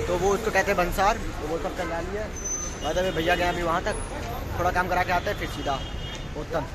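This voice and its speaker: A young man chatting casually and informally to viewers as a travel vlogger, using slang, on a handheld phone. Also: high voice. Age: young adult. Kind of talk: casual vlog chat to viewers. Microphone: phone held at arm's length.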